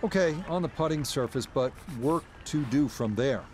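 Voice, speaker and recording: A man giving smooth, measured golf play-by-play as a video game's broadcast commentator. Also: medium pitch, lively intonation, darkish tone. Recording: game commentary audio with noise behind.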